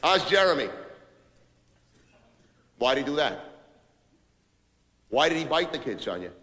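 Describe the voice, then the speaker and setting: A man's voice: medium pitch, big pitch swings, unhurried, pushed and bright. An actor playing an abrasive, self-important New businessman, ranting impatiently in a theatrical comic monologue of phone calls. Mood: exasperated, indignant. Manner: impatient, ranting, barking orders and repeating himself. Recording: reverberant hall.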